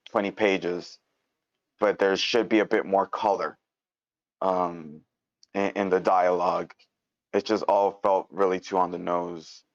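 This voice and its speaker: Man, low voice, halting informal critique, dry close sound on an online meeting. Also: no room sound. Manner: hesitant, searching for words, repeating himself. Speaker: participant giving script feedback. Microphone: online meeting mic. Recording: dry, muffled online-call audio.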